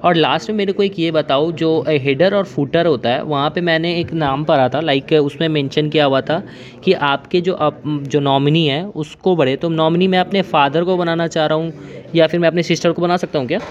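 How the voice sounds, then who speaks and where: A man's voice: a little high, steady tone, normal speed. A man in his twenties on a phone call as a customer, hesitant and rambling, with many fillers. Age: 20s. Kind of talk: casual phone conversation. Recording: lo-fi phone line.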